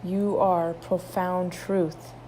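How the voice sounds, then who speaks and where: Slow, mellow woman guiding meditation. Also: lowish voice, level tone, a little hiss or hum, rough recording. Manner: soothing, drawn-out phrases with long pauses. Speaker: meditation guide.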